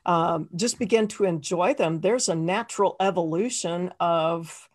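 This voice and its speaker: A woman's voice: on the low side, measured pace. A woman hosting an interview over a video call, encouraging her guest with short affirmations. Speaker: host, interviewer. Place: home office.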